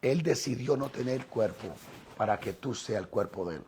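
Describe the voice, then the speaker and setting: A man with a Dominican Spanish accent preaching and teaching scripture in deliberate, emphatic, repeated phrases with pauses. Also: medium pitch, lively intonation, a little mellow, a little hiss or hum. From Dominican Republic.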